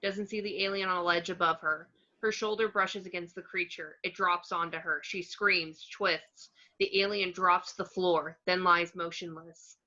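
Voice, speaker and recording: A woman's voice: on the low side, fairly even intonation, moderate pace, few pauses, pushed and bright. A woman narrating a screenplay's action descriptions in a scripted read. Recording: dull, lo-fi home recording.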